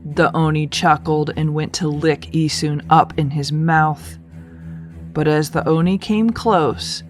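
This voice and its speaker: A woman narrating a children's folktale as a read-aloud, with expressive, deliberate delivery. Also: low-pitched. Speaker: storyteller.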